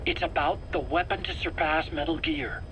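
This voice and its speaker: An actor playing a nervous, eager scientist under interrogation in a video game, self-justifying in an earnest, explanatory, pleading way. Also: male voice, fairly high pitch, steady tone, moderate pace, fairly bright tone, natural voice. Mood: secretive, insistent. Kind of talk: dramatic video game voice acting. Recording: faint background noise, cassette tape interrogation.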